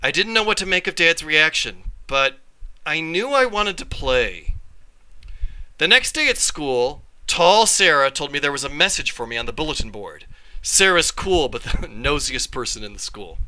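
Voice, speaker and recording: Very bright-voiced man reading a story aloud. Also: on the high side, rough recording. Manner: animated, dramatic phrasing with pauses. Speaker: read-along storyteller, teacher. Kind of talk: expressive read-aloud of a children's story in first person.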